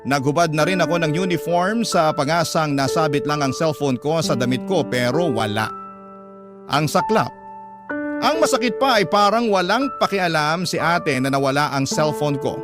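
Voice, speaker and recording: Higher-voiced man narrating over music. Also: lively intonation, moderate pace. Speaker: radio drama narrator. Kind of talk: dramatic first-person letter reading. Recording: clear music bed behind the voice.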